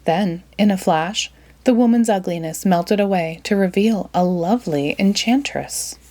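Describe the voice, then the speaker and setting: Female storyteller, medium pitch, expressive children's read-aloud narration. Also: unhurried.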